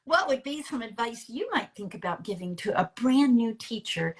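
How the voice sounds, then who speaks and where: A woman's voice: on the high side, lively intonation, normal speed, slight background noise. Earnest woman telling personal stories in conversation. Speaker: interviewer and storyteller who works with teens. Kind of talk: conversational interview, personal anecdotes.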